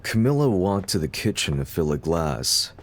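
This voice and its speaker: A man's voice: low voice, big pitch swings, moderate pace. A man narrating a scripted story as the narrator of an audio drama.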